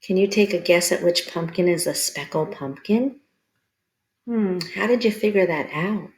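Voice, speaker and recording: A woman reading a picture book aloud to children like a teacher, expressive and pausing to put questions to young listeners. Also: fairly low pitch, roomy sound. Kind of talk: children's read-aloud storytelling.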